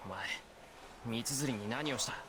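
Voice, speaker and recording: Man acting a fast-talking, stern teenage student. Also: mid-range voice, a crowd in the background, close to an everyday voice. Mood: tense anger. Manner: blunt, stern, accusing. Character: earnest, angry teenage male student confronting another. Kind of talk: Japanese visual novel voice acting.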